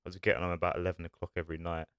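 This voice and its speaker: A young man talks in a low, smooth and resonant voice, relaxed and rambling with casual slang. He sits close to a desk mic in a small treated studio, so the sound is dry with no room echo. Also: racing. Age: young adult. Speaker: podcast guest. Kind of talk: casual chat. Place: small studio with acoustic foam panels. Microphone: desk-mounted podcast mic.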